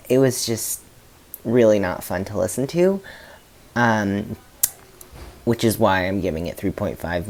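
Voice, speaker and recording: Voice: mid-range voice, darkish tone. A vlogger giving a casual, candid book review in a conversational, slightly hesitant way.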